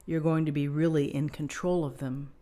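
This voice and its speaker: A woman giving an unscripted tarot reading, explaining things in a gently encouraging manner. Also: low voice, flat delivery, moderate pace. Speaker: tarot reader.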